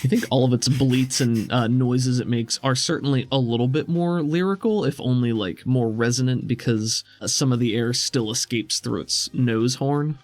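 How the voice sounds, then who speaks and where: A man's voice: mid-range voice, normal speed, hardly any pauses, darkish tone. A man working as game master, narrating and explaining a tabletop game in a casual, conversational way.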